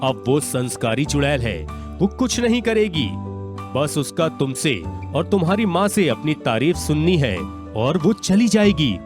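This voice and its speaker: Village exorcist sage for a cartoon tale, man's voice: fatherly, counselling, expressive pitch swings, music behind. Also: a little slow. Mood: advising. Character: calm, fatherly village holy man, exorcist. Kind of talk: Hindi animated moral-story dialogue.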